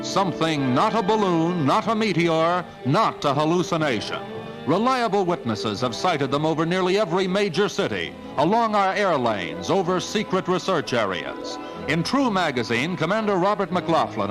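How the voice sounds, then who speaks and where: American guy doing old 50s newsreel narration, swoopy voice, portentous, loud music behind. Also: fairly high pitch, low-quality recording. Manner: emphatic, portentous. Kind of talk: dramatic 1950s newsreel narration. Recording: old 1950s film soundtrack with music.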